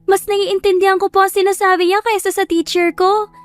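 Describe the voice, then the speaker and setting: A small, earnest child from an audio story, put on by a woman narrator. The voice is very high, polite and eager. Soft music plays under a dry recording. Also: flat delivery, a little fast, dry recording. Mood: eager, admiring. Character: earnest little child, admiring younger sibling.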